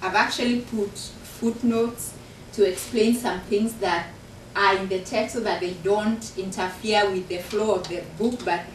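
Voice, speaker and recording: A woman's voice: mid-range voice, fairly even intonation, measured pace, far from the mic, a little hiss or hum. A woman from Kenya with a Kenyan accent, an author and professor talking earnestly about her book to an audience in a university function room. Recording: echoey room recorded from afar.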